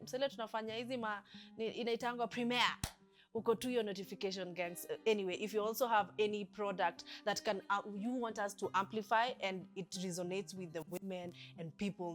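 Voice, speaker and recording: Kenyan woman hosting a casual chat. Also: medium pitch. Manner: lively, switches into Swahili slang. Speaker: talk show host.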